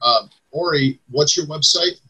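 A man's voice: fairly high pitch, a little slow, sharp tone, a little hiss or hum, poor audio. A man in his forties hosting a casual interview over a video call from his home. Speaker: interviewer and host.

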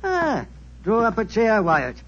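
An actor playing a talkative, gossipy ship's doctor in a 1940s radio drama on a dull old recording. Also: male voice, sits high, huge pitch swings, slow pace, fairly bright tone, slight background noise, heavily acted. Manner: chatty, gossipy, chuckling.

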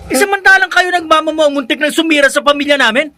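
A man's voice: extremely high pitch, fast, bright, forceful tone, faint music behind, full character voice. A man with a Filipino accent playing a spiteful, lazy young bully of a son in a radio drama, taunting and whiny. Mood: menacing, furious. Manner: taunting, whiny when told to work.

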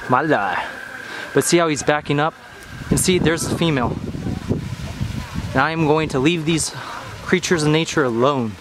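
A young man with an American accent chatting casually to camera in an excitable, slangy way, as a vlogger. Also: a little high, moderate pace, pauses often. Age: young adult. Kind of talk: casual vlog chat. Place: outdoors in a park by a small waterfall. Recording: clear outdoor background noise, running water.

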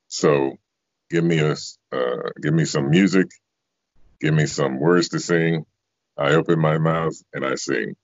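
An extremely low bass voice from a man in his fifties, speaking conversationally as an interview guest. The sound is dry and somewhat dull, like a lo-fi video call. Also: measured pace, dry recording. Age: 50s. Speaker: interviewee, bass singer and voice actor. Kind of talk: reflective conversational interview answers. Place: indoor room, video call.

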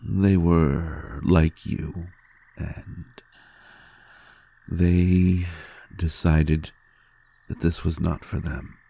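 A man playing an ancient, devoted vampire lover in an intimate roleplay audio, tender and melodramatic, speaking softly and haltingly. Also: low voice, fairly even intonation, creaky, breathy edge, heavily acted. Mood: wistful, hesitant. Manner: halting, soft, sincere, unhurried.

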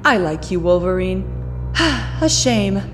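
A woman's voice: mid-range voice, sing-song, slow pace, in a large hall, faint music behind. A woman voicing a scheming, haughty alien princess who gives orders with contempt. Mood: gloating. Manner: haughty, commanding.

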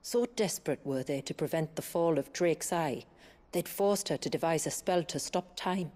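An actress playing a grave village woman who recounts her people's tragic past in a measured, formal, earnest way for a video game. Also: deep voice, a little mellow, close to an everyday voice. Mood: grave, reverent. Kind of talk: solemn scripted storytelling in video game drama.

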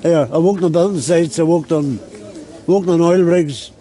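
A man's voice: on the high side, moderate pace, a crowd in the background. A man with a Northern Irish accent, seated on a city street and talking plainly in an interview, often repeating himself. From Belfast, Northern Ireland. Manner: plain-spoken, repeats words. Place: busy city street outdoors.

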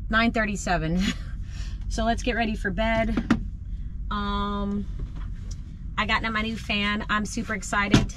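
A middle-aged woman vlogging casually to camera from inside her camper van at night, relaxed and upbeat, with plenty of fillers. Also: mid-range voice, takes it slowly, long pauses, very noisy. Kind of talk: casual vlog talk to camera. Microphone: camera close up in the van.

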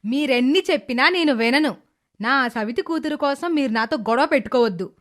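A cruel middle-aged stepmother from a cartoon moral tale, played by a woman, speaks in a high voice. She scolds with scorn and sarcasm, sounding resentful and calculating. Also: expressive, brisk. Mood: angry, defiant. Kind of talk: animated moral story character dialogue.

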